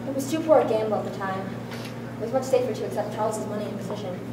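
A woman's voice: medium pitch, fast talker, close to an everyday voice. A young woman in an amateur stage play, playing a wife's poised, calculating social mask with suave, formal diction. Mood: haughty, cool. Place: large school hall with small audience. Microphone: far camera. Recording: echoey hall, distant camera, room noise.

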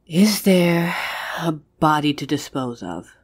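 A woman acts out a witch who is a pushy, caring friend. She has a moderately low female voice and a measured, frank delivery edged with exasperation, in the manner of a dramatic audio roleplay. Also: lively intonation, heavily acted. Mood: concerned.

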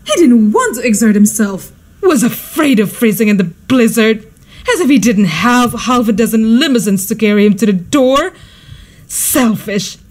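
A woman's voice: on the high side, animated, moderate pace, darkish tone. A woman playing an imperious, easily outraged society hostess and mother in a radio drama, exclaiming and scolding. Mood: outraged, wailing.

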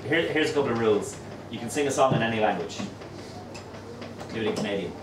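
A middle-aged man hosting a pub crawl, telling jokey stories to a crowd and mimicking people in quick asides. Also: mid-range voice, expressive, heavy background noise. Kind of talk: humorous storytelling to a pub crowd. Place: small crowded pub, indoors. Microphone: far camera, no mic. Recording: roomy pub echo, distant, chatter behind.

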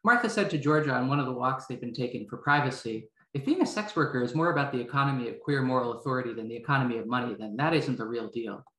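A woman in her thirties, a novelist with a low voice, talking and reading informally over a video call. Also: animated, fast, few pauses, fairly bright tone. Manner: hesitant, self-interrupting. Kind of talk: informal introduction and prose reading. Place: room at home. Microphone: video call, headset worn. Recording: roomy, echoing video-call audio.

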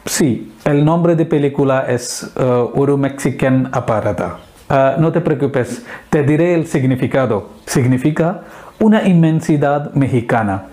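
A mellow-voiced man in his thirties presents to camera on a lapel mic. He has an Indian accent from his native Malayalam and speaks in halting, deliberate phrases. His pitch sits in a middle range for a man. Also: expressive. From Kerala, India. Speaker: video host. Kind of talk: presenting to camera in a second language. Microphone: clip-on lapel mic.

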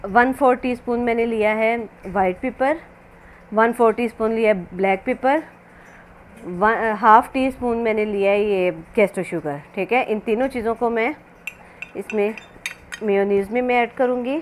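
A woman's voice: fairly high pitch, normal speed. A woman narrating a recipe casually as a home cooking host, instructional and often repeating herself. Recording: noisy amateur home recording.

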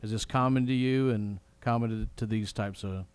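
A man chairs a formal public meeting. His voice is rather low and relaxed, with a mellow tone. The recording is dry. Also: moderate pace, clear, resonant tone, no echo. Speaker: presiding chair of a city council meeting.

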